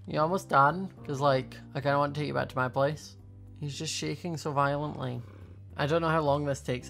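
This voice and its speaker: Chatty young woman with a creaky voice. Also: sits low. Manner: chatty, thinking aloud, playful. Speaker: gaming video host. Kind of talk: casual gameplay commentary. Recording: faint music behind voice. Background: faint music.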